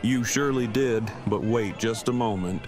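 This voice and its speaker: A man playing a wordy, theatrical dad with formal, deliberate phrasing in an audio drama. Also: on the low side, crowd noise, natural voice. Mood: mock-solemn.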